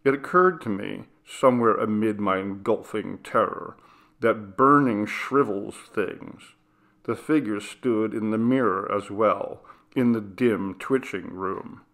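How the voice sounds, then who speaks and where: A man reading a ghost story aloud, in a slow, measured and grave way. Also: fairly low pitch, a bit bright. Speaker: reader. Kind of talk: scripted ghost story narration.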